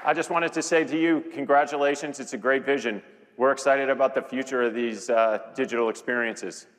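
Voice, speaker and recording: A man's voice: mid-range voice, brisk, fairly bright tone, some chatter behind. A man in his fifties speaking as an executive in a conversational keynote, repeating words and phrases for emphasis. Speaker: chief executive co-presenting a keynote. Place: large conference stage with audience. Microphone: lapel mic. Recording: reverberant large hall sound.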